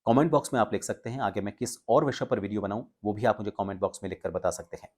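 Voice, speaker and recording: A man giving an instructional talk to viewers as a voice coach, explaining with pauses and stress on key words. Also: lowish voice, a little mellow. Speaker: voice coach and video presenter.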